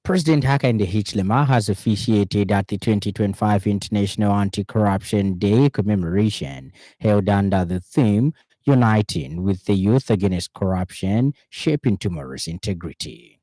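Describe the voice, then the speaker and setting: A man with a Zambian accent reading a formal radio news bulletin in a measured, emphatic way. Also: fairly low pitch, warm tone, dry recording. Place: radio studio. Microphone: close studio microphone.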